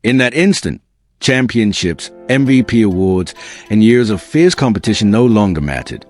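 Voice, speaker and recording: A man's voice: lowish voice, animated, normal speed, a little mellow, soft background music. A man reading scripted documentary narration in an earnest, dramatic storytelling manner.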